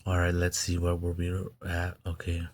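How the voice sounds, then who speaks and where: Flat, low-voiced man. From Mexican American. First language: Spanish. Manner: halting, repeats words and restarts. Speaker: video blogger. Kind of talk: reading a text aloud and commenting.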